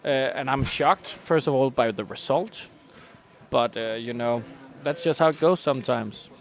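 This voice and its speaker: A man's voice: mid-range voice, a little slow, gravelly. A young man chatting casually as a podcast co-host, with a wry, mock-formal way of phrasing. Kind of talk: casual chat.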